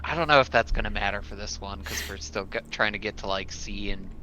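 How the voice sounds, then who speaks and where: A man's voice: lowish voice, fast, sharp tone. A man playing a tabletop game, thinking aloud hesitantly in casual talk. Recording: dull lo-fi mic, faint noise.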